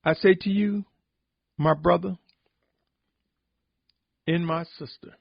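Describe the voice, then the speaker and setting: A man giving a solemn, sermon-like podcast reflection, exhorting deliberately with emphatic repetition in a husky, gravelly voice. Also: high voice, steady tone, no echo, lo-fi sound. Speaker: religious podcast host and preacher.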